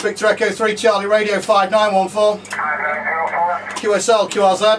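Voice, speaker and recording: A man's voice: high-pitched, flat delivery, moderate pace. A man working as an amateur radio contest operator, reeling off procedural callsign exchanges in phonetic alphabet. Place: small dim indoor radio room. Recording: very noisy radio shack, distant mic.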